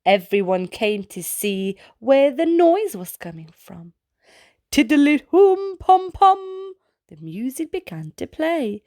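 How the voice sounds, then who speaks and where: A woman reading a children's picture book aloud as a storytime host, in an expressive way with exclaimed sound-effect words. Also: high voice, no room sound, clean studio sound. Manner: slow, deliberate delivery for young children.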